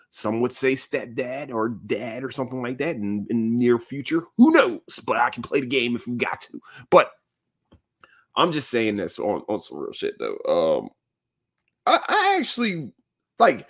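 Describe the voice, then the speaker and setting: Thirties guy on his podcast, rambling and joking, voice jumps all over. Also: lowish voice. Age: 30s. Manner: animated, rambling, joking, lots of fillers. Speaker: podcast host. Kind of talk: casual solo podcast monologue.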